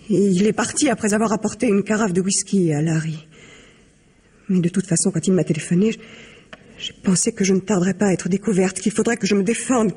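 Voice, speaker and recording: A young female suspect in a radio crime drama, worn out and despairing. Her voice is very breathy and soft-toned, nearly whispering, with halting, hesitant phrases. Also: medium pitch. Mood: uneasy.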